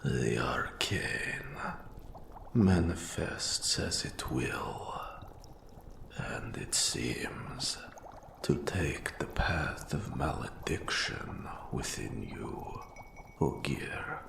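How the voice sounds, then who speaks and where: A man voicing an old undead wraith mentor in a fantasy audio roleplay, eerie and courtly, with a gravelly, breathy half-whisper and slow, archaic, formal phrasing. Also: a bass voice, expressive, noisy background, heavily acted. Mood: grave, approving. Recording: roomy echo on the voice.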